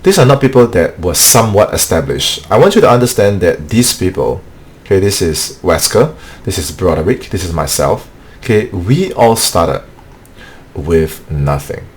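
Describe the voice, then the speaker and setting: Deep-voiced man coaching sales. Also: big pitch swings, moderate pace. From Singapore. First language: not English. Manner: earnest, repetitive, peppers speech with "okay". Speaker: sales trainer hosting weekly live training. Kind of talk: motivational live-stream training.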